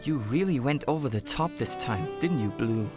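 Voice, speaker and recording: Easygoing young male trainer, anime dub woman performing: boyish, very low for a female voice, music beneath. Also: lively intonation, moderate pace. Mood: casual, chiding. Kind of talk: anime-style manga dub acting. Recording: music under the voice. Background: background music.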